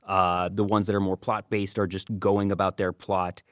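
Low-voiced man hosting an anime podcast. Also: little pitch movement, moderate pace, clear, resonant tone, dry recording, clean studio sound. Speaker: podcast host. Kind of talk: casual anime podcast commentary.

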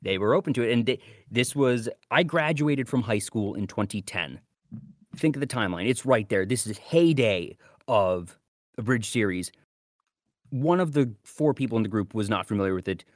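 A man's voice: medium pitch, animated, moderate pace, no room sound, clean studio sound. A man in his thirties co-hosting a podcast, telling a personal anecdote in a casual chatty way. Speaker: podcast co-host.

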